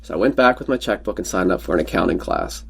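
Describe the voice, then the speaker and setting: A man from Pennsylvania, a native Pennsylvania Dutch speaker, talking as a podcast guest. His voice is somewhat low for a man. He tells loose, self-mocking anecdotes and slips in jokes along the way. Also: animated, fast talker, gravelly, a bit bright, breathy edge. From Pennsylvania, USA. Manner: joking, rambling anecdotes with self-deprecating humor. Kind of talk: casual conversational storytelling.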